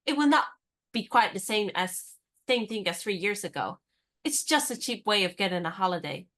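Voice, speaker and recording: A woman's voice: medium pitch, lively intonation, normal speed, a bit bright, close to an everyday voice. A woman acting a cool, poised and inquisitive young woman in a period radio play. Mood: curious, brisk.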